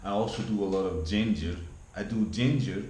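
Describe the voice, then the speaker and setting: A Dutch-accented man in his forties with a fairly low voice, talking off the cuff for his vlog. He wanders, restarts sentences and repeats words, in a room with a noticeable echo. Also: steady tone, moderate pace, warm tone. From Netherlands. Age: 40s. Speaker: vlogger. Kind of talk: casual rambling monologue. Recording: echoey room with faint background hum.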